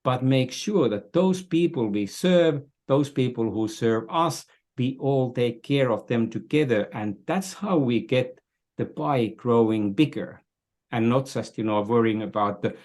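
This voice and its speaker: An older man from Finland talks as a podcast guest at a slow pace. His pitch sits in the middle for a man, and he sounds earnest and deliberate, often halting to find the next phrase. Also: a little mellow. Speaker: podcast guest, business strategist. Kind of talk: conversational interview, explaining views.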